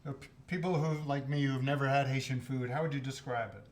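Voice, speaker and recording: A man hosts a food show in a studio, speaking in a friendly, chatty way. His voice sits at a middle pitch, and he stretches out his words when greeting viewers. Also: steady tone. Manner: upbeat, drawn-out greetings, chatty. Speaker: host. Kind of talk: casual interview.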